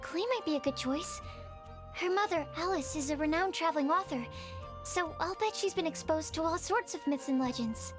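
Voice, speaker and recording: A woman playing an earnest, shy young female alchemist in a video game, polite and bookish with a soft-spoken, hesitant manner. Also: very high voice, normal speed. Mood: thoughtful. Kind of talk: video game cutscene dialogue. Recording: faint music behind the voice.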